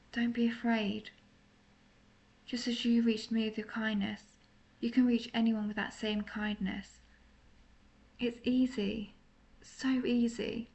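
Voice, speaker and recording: A woman voicing a tender, melancholy centuries-old vampire lover in an intimate audio roleplay, speaking softly and confessionally, at times nearly whispering. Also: on the high side. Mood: gentle, reassuring. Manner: soft, confessional, partly whispered.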